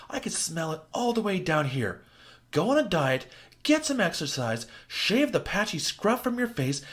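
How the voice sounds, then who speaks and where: Sharp-tongued captive woman, raised and biting, scornful; man reading the part. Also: on the high side, voice pushed up, full character voice. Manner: cutting, scornful, blunt.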